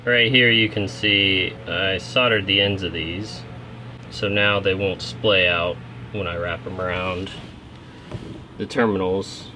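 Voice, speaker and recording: A man's voice: low-pitched, pushed and bright, a little hiss or hum. A man in his thirties hosting a DIY vlog, explaining his work casually. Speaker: vlog host.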